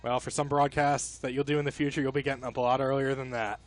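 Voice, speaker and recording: A man giving casual live color commentary on a baseball broadcast, sometimes halting and repeating words. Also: mid-range voice, level tone.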